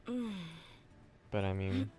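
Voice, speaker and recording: A man with a fairly low voice chats loosely as he plays a game. His delivery is offhand and rambling, with hesitant gaps. The recording is noisy, with clear background sound behind him. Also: wildly swooping pitch, drawn-out words. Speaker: video game streamer. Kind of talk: casual gameplay chat.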